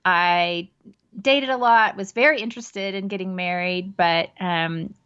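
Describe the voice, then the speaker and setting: A woman's voice: medium pitch, a little slow, a bit bright, clear, resonant tone. Earnest 40-something woman telling her story, lots of ums, a bit dull lo-fi audio. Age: 40s. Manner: earnest, reflective, many ums. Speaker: podcast guest. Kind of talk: casual podcast interview.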